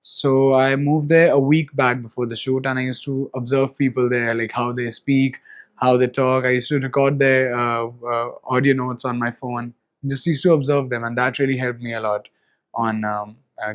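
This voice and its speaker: A man's voice: medium pitch, little pitch movement, normal speed. A young Indian man with an Indian English accent, an actor being interviewed, chatting animatedly with frequent laughs and fillers. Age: young adult. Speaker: actor guest. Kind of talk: casual interview, mixing English and Hindi.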